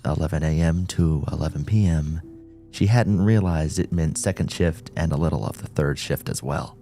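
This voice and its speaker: A man's voice: a bass voice, mellow. A man reading a scripted story as an audiobook narrator.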